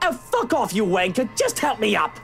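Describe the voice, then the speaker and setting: An actor in a visual novel game playing an impatient, hot-tempered young gentleman, brash and agitated. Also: high voice, huge pitch swings, normal speed, gravelly, exaggerated voice. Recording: music behind the voice.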